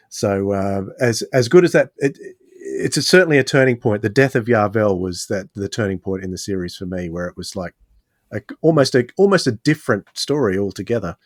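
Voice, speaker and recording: A man hosting a casual podcast discussion, speaking in halting, reflective phrases. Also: fairly low pitch, no room sound, clean studio sound.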